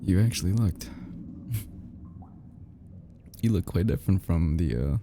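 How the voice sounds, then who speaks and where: A man playing an obsessive, lovesick young yandere kidnapper in an ASMR roleplay drama, stammering and sighing with halting, fragile tenderness, often dropping into breathy half-whispers. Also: deep voice, expressive, dark tone, a little hiss or hum. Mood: shy, adoring.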